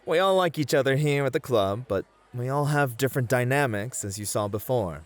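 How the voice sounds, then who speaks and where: A man's voice: medium pitch, expressive, a little fast, natural voice. A man playing a suave, competitive club host who flirts and teases theatrically in an ASMR roleplay. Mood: fond, relaxed. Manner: smooth-talking, teasing, theatrical.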